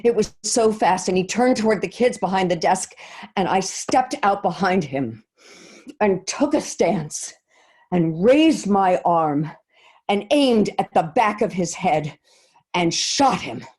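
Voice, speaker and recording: A woman performing a dramatic monologue as a shaken schoolteacher, husky and halting, on a video call. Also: mid-range voice, expressive, darkish tone. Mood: grim, resolute. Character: shaken woman teacher recounting shielding her kids from a gunman. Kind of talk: dramatic monologue, staged reading. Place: remote reading over video call.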